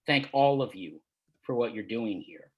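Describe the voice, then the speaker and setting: A man voicing a polite, reserved young man who speaks quietly. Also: fairly low pitch, animated, measured pace, lo-fi sound, pitched below the performer's own voice.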